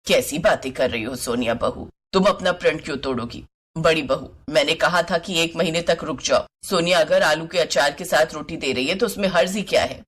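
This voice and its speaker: Woman doing older saas in a family cartoon story, lower voice, bossy but approving, lays down the rules. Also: fairly quick, husky. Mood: firm, chiding. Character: firm, approving older mother-in-law of an Indian household. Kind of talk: animated story character voice.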